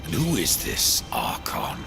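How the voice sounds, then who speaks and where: Sardonic apocalyptic horseman, gravelly rasp, dry curt delivery, video game cinematic, clear background music. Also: extremely low pitch, wildly swooping pitch, slow pace, exaggerated voice. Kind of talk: video game cutscene acting. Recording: music behind the voice.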